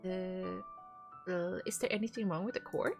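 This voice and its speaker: A woman's voice: on the low side, steady tone, normal speed, creaky, faint music behind. A woman with a stuffy nose streaming a game, reading its dialogue aloud and chatting casually with viewers, with the odd curse thrown in. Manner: reads lines aloud, swears and laughs between.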